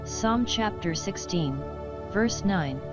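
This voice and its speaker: A woman's voice: mid-range voice, lively intonation, slow. A woman reading a scripted daily devotional and prayer. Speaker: devotional reader. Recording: dull lo-fi audio under music. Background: guitar music.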